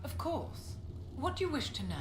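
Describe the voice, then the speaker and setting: A woman's voice: sits high, sing-song, moderate pace. An actress playing a proud, coolly condescending middle-aged female court mage in a video game, speaking with poised, imperious authority. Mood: polite, poised. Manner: poised, imperious, lecturing, addresses listener as my dear. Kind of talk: video game dialogue acting. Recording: roomy echo with clear background noise.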